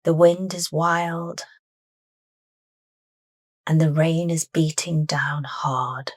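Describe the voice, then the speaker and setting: A woman leading a guided meditation with soft, unhurried, deliberate phrasing. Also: sits low, steady tone, no echo, clean studio sound.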